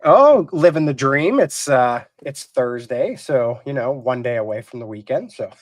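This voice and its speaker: A man's voice: mid-range voice, expressive, measured pace, no echo, studio quality. A man hosting a live video-call interview show, a little stuffy from a cold, chatty and friendly with many fillers. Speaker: host.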